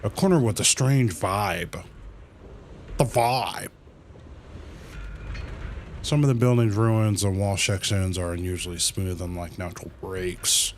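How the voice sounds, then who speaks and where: A man livestreaming a game with casual commentary, thinking aloud in a halting way and repeating phrases. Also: low-pitched, slight background noise.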